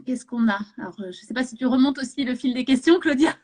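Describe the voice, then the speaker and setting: High-voiced chatty French-speaking woman host. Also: fast talker, a little mellow. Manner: chatty, many fillers and restarts, laughs often. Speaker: host of a live session. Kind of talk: casual live chat.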